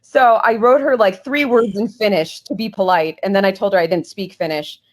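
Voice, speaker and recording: A woman's voice: medium pitch, normal speed, poor audio. A woman talking as a guest historian on a podcast, earnest and explanatory, with small restarts. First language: English.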